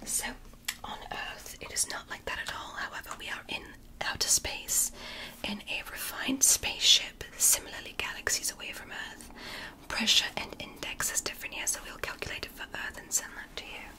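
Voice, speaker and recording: A woman whispering as a gentle alien doctor. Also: poor audio. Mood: soothing. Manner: soft, slow, praising and reassuring. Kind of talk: ASMR roleplay.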